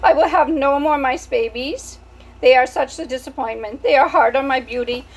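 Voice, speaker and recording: A woman's voice: sits high, normal speed, slightly rough, pitched above the performer's own voice, poor audio. Woman putting on a theatrical French mother mouse. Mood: vain. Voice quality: theatrical, sighing, self-pitying.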